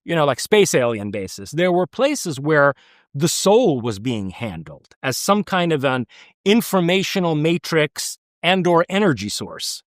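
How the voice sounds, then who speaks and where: A man's voice: fairly high pitch, big pitch swings, unhurried, no room sound, clean studio sound. A man from the United States speaking as an interview guest, earnest and emphatic, with frequent restarts. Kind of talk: conversational interview.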